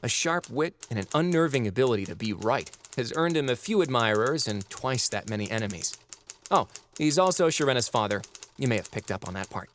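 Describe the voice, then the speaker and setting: Man acting a lively, droll narrator. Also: medium pitch, brisk, slight background noise, close to an everyday voice. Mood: arch, amused. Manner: droll, arch, knowing. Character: droll, literate storybook narrator introducing characters. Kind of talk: scripted audio drama narration.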